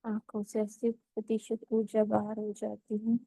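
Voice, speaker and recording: Woman guiding meditation, mellow and even. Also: mid-range voice, gravelly, dry recording, rough recording. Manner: slow, measured, gently instructive with pauses. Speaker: meditation guide. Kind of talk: guided meditation instruction.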